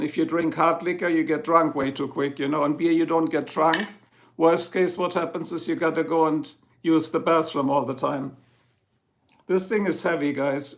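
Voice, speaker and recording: A man's voice: a little high, gravelly. A middle-aged man with a German accent, chatting casually with viewers on his livestream and answering their comments. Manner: chatty, answers viewers one by one, chuckles often. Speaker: livestream host.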